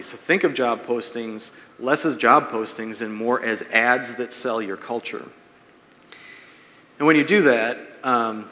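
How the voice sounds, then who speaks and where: A man's voice: medium pitch, bright, forceful tone. Man presenting in a very echoey hall. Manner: conversational, explanatory, checks in with "right?". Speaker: presenter, marketing speaker. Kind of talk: business seminar talk to an audience. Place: large brick-walled event room, indoors. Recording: echoey hall, long reverb.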